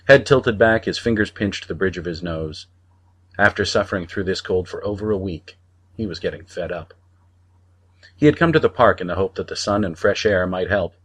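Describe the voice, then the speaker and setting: A man narrating fiction from a script as an audiobook reader, in a measured storytelling manner. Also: low voice, animated, fairly bright tone, breathy edge.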